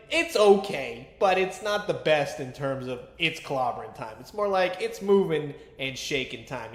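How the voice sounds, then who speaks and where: A man's voice: high-pitched, echoey room. A man giving a casual, chatty toy review with a fan's enthusiasm and colloquial asides. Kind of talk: casual action figure review commentary.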